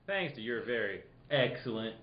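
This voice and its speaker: A man playing a detective explaining a case in a halting, hammy, jokey radio spoof. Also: medium pitch, expressive, slight background noise, voice pushed down, lightly acted. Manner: halting, hammy, breaks into laughter and asides. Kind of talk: mock old-time radio play acting. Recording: echoey live room, dull sound.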